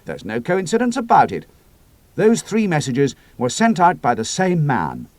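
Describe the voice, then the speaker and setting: A man's voice: fairly high pitch, huge pitch swings, normal speed, darkish tone, dry recording, pitched above the performer's own voice. A man reading as a decisive, assured squadron leader. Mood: grave. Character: calm decisive male squadron leader hero.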